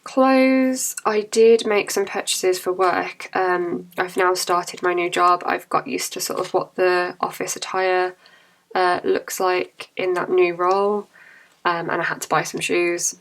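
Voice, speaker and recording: A young woman chatting casually to camera about her budget, her voice croaky and cracking from a cold, with chatty, apologetic asides. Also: fairly low pitch, flat delivery, a little slow. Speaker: budgeting vlogger.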